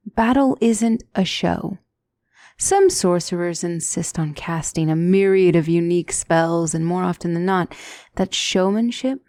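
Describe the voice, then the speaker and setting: A woman playing a gentle demonic tutor in a scripted ASMR roleplay, speaking softly and deliberately as a calm battle mentor. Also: fairly low pitch, vocal fry, no echo. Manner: measured, deliberate, soft-spoken, instructive.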